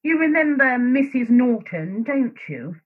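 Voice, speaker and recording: A woman's voice: sits high, very animated, measured pace, exaggerated voice. An actress playing an anguished, guilt-ridden woman in a secret love affair in a radio play, earnest and fretful. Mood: flustered, covering up. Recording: thin phone-like sound.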